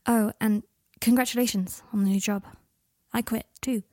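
Woman reading as Harry, a lovesick pleading young man, husky. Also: fairly high pitch, steady tone, normal speed, mellow, no room sound, clean studio sound, lightly acted. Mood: subdued, earnest.

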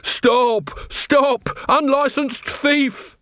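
A man voicing an indignant, grasping fat tavern keeper in a storybook, loud and complaining. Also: very high voice, sing-song, normal speed, dry recording, clean studio sound, voice pushed up. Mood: shouting.

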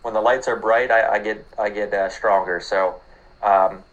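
Laid-back low-voiced podcast co-host. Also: male voice, steady tone, normal speed, a bit bright, highs cut off. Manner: laid-back, filler-heavy, swears casually. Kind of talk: casual sports betting chat.

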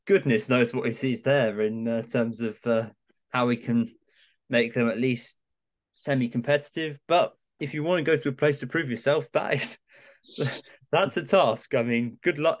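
A man giving casual, analytical opinions as a guest pundit on a sports podcast. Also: medium pitch.

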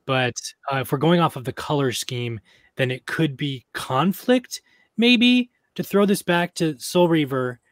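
A man's voice, recorded dry and close with no room sound, talks through a theory at an unhurried pace. He stops and restarts often, padding his thoughts with "uh" and "um" as he goes. It sounds like relaxed podcast chat. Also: on the high side, dry recording. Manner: thinks aloud with hesitant fillers and restarts. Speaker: podcast co-host discussing game lore.

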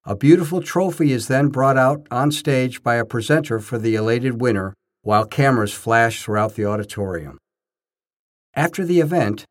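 A man reading scripted first-person narration, telling his own experiences as a narrator. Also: medium pitch. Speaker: narrator, bodybuilding judge.

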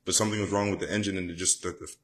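A man's voice: low voice, steady tone, racing. A young man telling stories in a casual podcast chat, repeating himself and laughing often. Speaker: podcast co-host.

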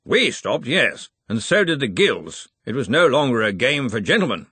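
A man's voice: medium pitch, big pitch swings, moderate pace, pushed and bright, breathy edge, no room sound, pitched above the performer's own voice, exaggerated voice. Man voicing a pompous, pedantic old wizard. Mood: correcting.

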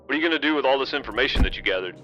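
An actor playing a brisk, skeptical partner in an audio drama, talking in a questioning manner. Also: male voice, medium pitch, animated, lo-fi sound, over music, lightly acted.

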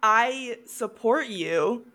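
A woman's voice: on the high side, expressive, drawn-out words, sharp tone. A woman co-hosting a podcast in casual chat, with a teasing, drily sarcastic way of talking. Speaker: podcast co-host. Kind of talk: casual chat about horror movies.

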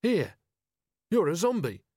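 A desperate crook, played by a male audiobook reader, pitched well up and swooping about. He whines and pleads frantically. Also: sing-song, slow, darkish tone, breathy edge, no echo, clean studio sound, heavily acted. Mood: indignant. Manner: whining, pleading. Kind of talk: audiobook character voice.

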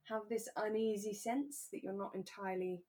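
A woman co-hosting a relaxed conversational podcast, talking in a candid, reflective and careful way. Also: medium pitch, moderate pace. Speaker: podcast co-host.

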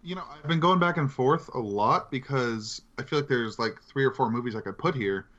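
A man's voice: medium pitch, fairly quick, fairly bright tone, dull audio. A man co-hosting a podcast in casual chat, joking and self-deprecating. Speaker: podcast co-host.

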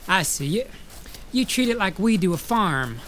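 A man's voice: sits high, very animated, moderate pace, a bit bright. A man playing a bold, blunt, folksy logger from 1890s timber country in a film drama, over ambient noise. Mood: confident. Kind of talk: dramatic film script acting.

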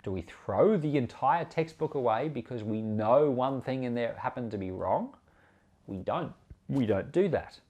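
A man's voice: medium pitch, animated, moderate pace, darkish tone. A man giving an informal Bible commentary as a pastor, conversational and emphatic, explaining things with examples. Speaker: pastor and Bible podcast host.

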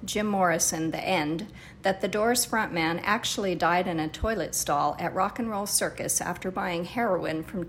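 A woman's voice: fairly low pitch, a little hiss or hum. A woman podcast host reading a true-story book excerpt aloud as scripted narration.

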